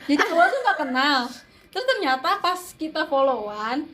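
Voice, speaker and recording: A woman's voice: high voice, big pitch swings, moderate pace, sharp tone. A young woman chats informally as a student radio co-host, speaking straight to listeners and repeating her phrases. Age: young adult. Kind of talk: casual chat. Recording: clear background noise, room ambience.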